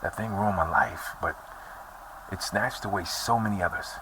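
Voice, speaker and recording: An actor playing a weary, troubled man bent on revenge, speaking slowly and grimly in a horror audio drama. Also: low voice, recorded from a distance, noisy background, close to an everyday voice. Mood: bitter, grim.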